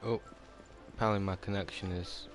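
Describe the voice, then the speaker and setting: A man's voice: sits low, fairly even intonation, brisk. A man giving casual, off-the-cuff gameplay commentary as a streamer, muttering reactions to himself with game audio behind him. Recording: loud game music behind voice. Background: game audio and music.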